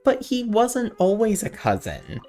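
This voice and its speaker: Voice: medium pitch, huge pitch swings, unhurried, mellow, faint music behind. A game streamer chatting casually in an informal, rambling way.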